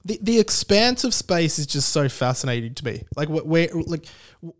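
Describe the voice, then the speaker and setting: A man with a slightly high voice delivers a casual comic monologue. He rambles and doubles back on his words, leaning into emphatic, incredulous delivery as a podcast host. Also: very animated, normal speed. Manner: rambling, stammering restarts. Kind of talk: casual comedic solo monologue.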